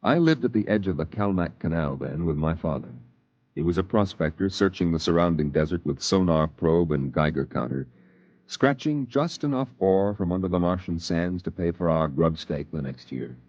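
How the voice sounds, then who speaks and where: A man narrating a 1950s radio drama as a grown man recalling his youth, in a measured, reflective way. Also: low-pitched, animated, darkish tone, dry recording. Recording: dull, muffled old radio broadcast.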